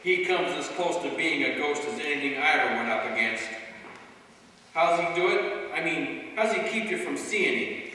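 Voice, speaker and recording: Excitable slangy gangster henchman, man acting, piercing tone, radio drama, distant in a very reverberant hall. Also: a little high, moderate pace. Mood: wary, curious. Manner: slangy tough-guy talk, excitable. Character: jumpy, crude male gangster henchman. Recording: very echoey hall, far from mic.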